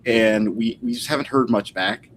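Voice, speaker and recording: A man with a medium-pitched voice talks as a historian being interviewed. He is informal and thoughtful, feeling his way through phrases. Some background noise sits behind him. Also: normal speed, a bit bright. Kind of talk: casual interview talk.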